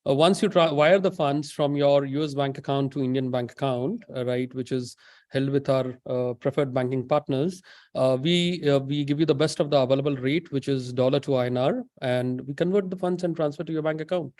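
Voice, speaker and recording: A man's voice: mid-range voice, steady tone, brisk, darkish tone, dry recording, clean studio sound. A man who is a guest on a business webinar over a video call, explaining a banking process and often adding "right?". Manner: explanatory, many "right?" tags and fillers.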